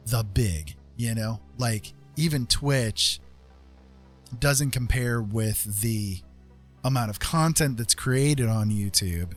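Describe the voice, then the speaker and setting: A man chatting casually as a livestream host, explaining things in a rambling, emphatic way and repeating phrases for stress. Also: on the low side. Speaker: streamer host. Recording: music playing behind the voice. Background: music.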